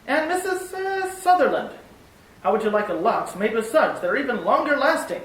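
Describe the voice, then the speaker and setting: A woman's voice: sits high, sing-song, normal speed, distant mic. A woman playing an upbeat 1940s radio announcer reading a soap commercial. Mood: salesy. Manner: upbeat, salesy. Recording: echoey room, voice off-mic.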